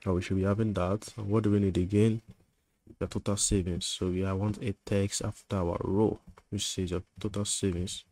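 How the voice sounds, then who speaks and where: A man with a low, level voice talks through code as he types it, stopping often to think aloud. His speech has fillers like "um" and quick self-corrections. He records at his computer in a relaxed, unscripted tutorial style. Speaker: coding tutor. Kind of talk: screen-recorded coding tutorial.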